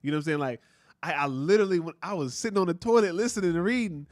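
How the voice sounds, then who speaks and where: A man with a deep voice chats casually as a podcast co-host, animated and full of slang. His delivery is lively, and the sound is dry and close. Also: racing, dry recording. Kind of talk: casual chat.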